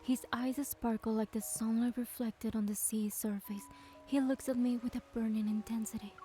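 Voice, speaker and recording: An actress as a soft, higher-voiced gentle young heroine. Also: female voice, fairly even intonation, moderate pace, slight background noise, close to an everyday voice. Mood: soft, dreamy. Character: gentle, affectionate young heroine, wistful and sweet. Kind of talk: romance game voice acting and first-person narration.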